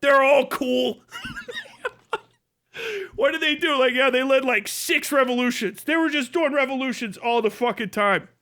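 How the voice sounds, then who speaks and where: A man's voice: very high-pitched, moderate pace, pushed and bright. A man livestream host on a casual comedic rant, swearing freely, with mocking, exaggerated storytelling and laughter breaking into his talk. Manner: profane; laughs often while talking.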